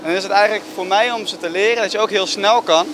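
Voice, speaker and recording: High-voiced man ski instructor in noise. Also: fast talker. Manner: calm, instructing. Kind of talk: instruction and interview.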